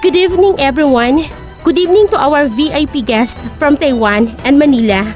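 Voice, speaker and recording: A woman voicing a calm, self-assured, wealthy woman hotel owner speaking formally in an audio drama. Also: high voice, moderate pace, soft background music, lightly acted. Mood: calm, authoritative. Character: confident wealthy young woman hotel owner giving a poised speech. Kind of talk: audio drama character speech.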